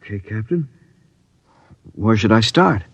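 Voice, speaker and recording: A man's voice: on the low side, a little slow, slightly rough, dry recording, exaggerated voice. An actor playing an ordinary, earnest young crewman who is plainspoken and defensive, in old-time radio drama style. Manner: plainspoken, questioning, animated. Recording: dull vintage radio recording.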